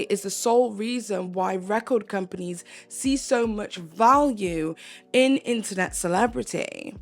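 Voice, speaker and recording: Hoarse young woman giving commentary. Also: medium pitch. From United Kingdom. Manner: earnest, emphatic, repeats phrases for stress. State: hoarse, voice going. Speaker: commentary YouTuber. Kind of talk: opinion commentary vlog.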